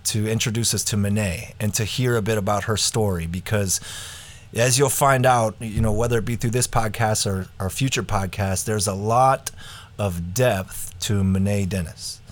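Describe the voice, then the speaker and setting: A man in his thirties co-hosting a podcast, talking in a relaxed, casual way with lots of fillers. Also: fairly low pitch, normal speed. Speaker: podcast co-host. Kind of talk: casual conversational introduction.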